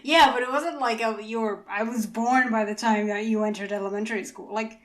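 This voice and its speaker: A woman's voice: on the high side, steady tone, fairly quick, fairly bright tone. A woman in her mid twenties chatting casually as a podcast co-host. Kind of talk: casual chat.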